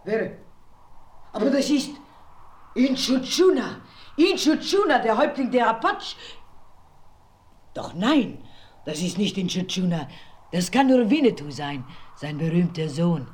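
A solemn, mysterious loner. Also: male voice, sits high, sing-song, slightly rough, exaggerated voice. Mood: astonished. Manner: halting, stammering, hushed whispers. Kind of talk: 1970s radio play acting.

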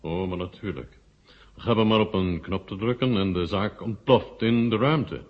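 A man acting an assured, stern Russian marshal in a science-fiction radio drama, measured and formal. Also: fairly low pitch, lightly acted. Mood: stern, confident. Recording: muffled old radio recording.

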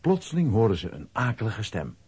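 A man with a husky voice narrating a scripted children's audio play as storyteller. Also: fairly low pitch, wildly swooping pitch, brisk, darkish tone, no room sound.

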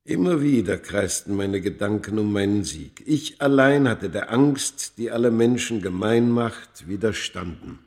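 A proud man of ancient Egypt recounting his life in a radio drama. His voice is fairly low, with a solemn, measured delivery and dramatic, literary phrasing. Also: animated, darkish tone. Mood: solemn, haunted. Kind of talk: literary first-person narration in a radio play.

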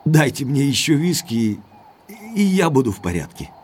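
An actor playing a young baronet in an audio drama, shaken and weak after an attack. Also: male voice, medium pitch, big pitch swings, moderate pace, darkish tone, breathy edge.

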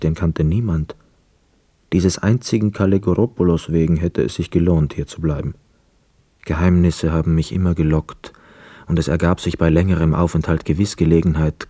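An actor playing a brooding, hesitant ex-prisoner of war, telling his story in a measured, introspective way in a radio drama. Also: deep voice, dark tone, husky, no room sound. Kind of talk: literary radio drama narration and dialogue. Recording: close dry studio, music behind. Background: music.

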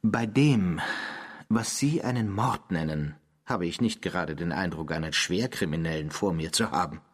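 A man's voice: sits low, normal speed, husky. An actor in a German radio drama playing an irritated, sarcastic businessman, curt and dismissive. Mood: sardonic, dismissive. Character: irritated, sarcastic businessman, unwillingly cornered by a stranger.

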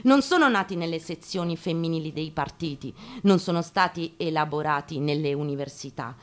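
A woman giving a deliberate, declamatory dramatic reading of a first-person memoir, in short phrases with pauses. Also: low-pitched. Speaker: actress giving a staged reading. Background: acoustic guitar playing.

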